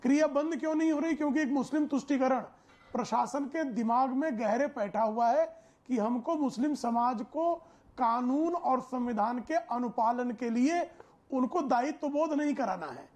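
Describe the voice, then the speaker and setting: High-pitched, emphatic male debate panelist. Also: moderate pace, a little mellow. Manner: emphatic, oratorical, formal vocabulary. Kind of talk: forceful political debate argument.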